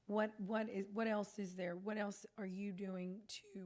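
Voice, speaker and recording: A woman's voice: mid-range voice, flat delivery, unhurried, a little mellow. A woman council member asking questions politely and hesitantly while losing her voice. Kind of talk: meeting questions. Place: council chamber.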